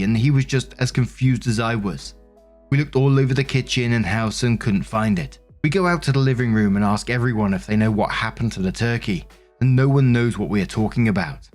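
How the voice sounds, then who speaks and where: A man's voice: on the low side, a little mellow. A man hosting a story show, reading online posts aloud and commenting on them conversationally into a close microphone. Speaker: host and narrator of a story show. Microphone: close studio-style microphone.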